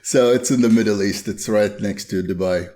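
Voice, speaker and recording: A man from Bahrain hosting a podcast, asking questions in a relaxed, friendly chat. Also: fairly low pitch, normal speed. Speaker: podcast host. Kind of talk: casual interview chat.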